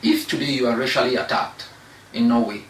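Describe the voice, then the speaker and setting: A young man from Cameroon, a law researcher, giving measured, argumentative answers as an interview guest, with pauses and restarts. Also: mid-range voice, far from the mic. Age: young adult. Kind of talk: interview discussion. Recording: echoey room, voice off-mic, faint noise.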